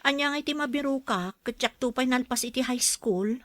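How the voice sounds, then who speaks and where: A woman's voice: high-pitched, brisk, no echo, clean studio sound, lightly acted. A woman playing a humble, needy widowed single mother in an Ilocano radio drama. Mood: chatty, plaintive.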